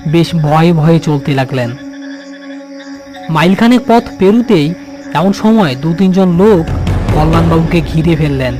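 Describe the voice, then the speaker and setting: A man's voice: a little high, animated, measured pace, pauses often, music in the background. A man narrating a scripted horror story as its storyteller.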